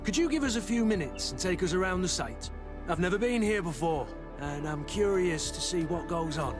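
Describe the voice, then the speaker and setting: An actor playing a blunt, commanding guard captain with a clipped, direct and sardonic manner in video game dialogue. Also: male voice, high voice, lively intonation, moderate pace, music in the background. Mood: dry.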